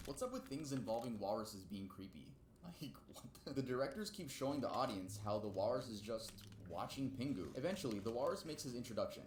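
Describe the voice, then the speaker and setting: A man narrating an online list video as its host, in an informal style with personal asides. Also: medium pitch. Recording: roomy echo, faint background noise.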